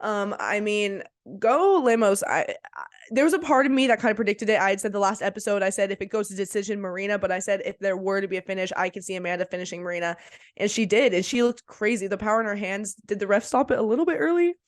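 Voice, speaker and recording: Woman, podcast co-host, slight cold, mid-pitched, enthusiastic, slangy casual sports analysis, close dry microphone. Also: fast, dry recording.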